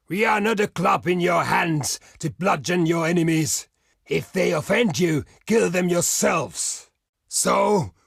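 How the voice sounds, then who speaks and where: A man acting a proud, blunt barbarian chieftain for a video game, with a gravelly, husky voice and a theatrical, declamatory delivery. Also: high voice, fairly bright tone, dry recording, clean studio sound, heavily acted. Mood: scornful, defiant. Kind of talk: video game character voice lines.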